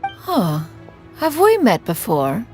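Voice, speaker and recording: An aloof immortal lady from a fantasy game. Her speech is formal and archaic, with precise diction and lively, swooping intonation over soft-to-clear music. She sounds sure of her own superiority. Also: mid-range voice, takes it slowly. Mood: composed, lofty. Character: haughty, formal immortal adeptus woman, aloof and self-assured. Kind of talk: video game voice acting.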